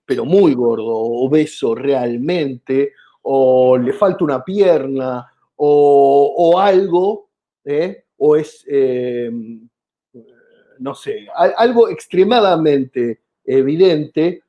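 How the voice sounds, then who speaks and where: A man's voice: medium pitch, expressive, drawn-out words, lo-fi sound. A man from Argentina with an Argentine accent, explaining his ideas as a comedian in an interview, with many hesitations and restarts. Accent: Argentine Spanish. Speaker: comedian guest.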